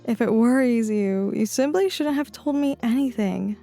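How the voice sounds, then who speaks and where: Actress plays a reserved young hotel worker; high, quiet, guarded. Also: female voice, normal speed, faint music behind, lightly acted. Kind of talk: audio drama acting.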